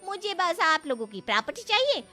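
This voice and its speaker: A woman's voice: very high voice, very animated, fairly quick, pushed and bright, soft background music, voice pushed up, exaggerated voice. A woman voicing a brazen, greedy young wife and former maid in a cartoon, taunting and calculating. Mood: cold, demanding. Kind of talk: melodramatic Hindi cartoon voice acting.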